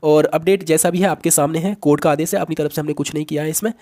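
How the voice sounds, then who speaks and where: Fast-talking man. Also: on the high side, steady tone, darkish tone. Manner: teacherly, addresses listeners directly, mixes in English words. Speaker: host of an exam-update channel. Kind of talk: explanatory news update to viewers.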